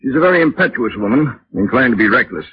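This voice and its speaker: An actor playing a courteous, measured wealthy husband hiring staff in 1940s radio drama. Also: male voice, mid-range voice, expressive, close to an everyday voice. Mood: courteous, concerned. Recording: dull vintage radio recording, faint noise.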